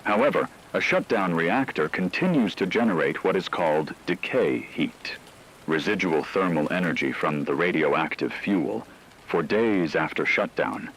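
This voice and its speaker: A man playing a grave emergency radio announcer, reading scripted broadcast narration in a measured, formal, somber way. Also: a bass voice, a bit bright, slight background noise, exaggerated voice, rough recording. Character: grave male emergency radio announcer during global blackout.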